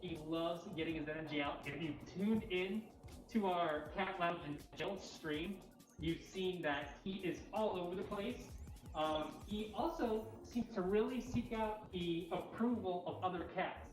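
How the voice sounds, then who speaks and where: Lower-voiced woman in an echoey room. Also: measured pace. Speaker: cat cafe host. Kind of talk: casual livestream walkthrough. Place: indoor cat cafe room. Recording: roomy echo, faint music behind. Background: faint music.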